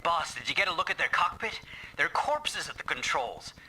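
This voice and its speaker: An actor playing a jumpy, timid mech pilot in a video game, anxious and excitable, with a whiny delivery. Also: male voice, a little high, normal speed, background noise. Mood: panicked.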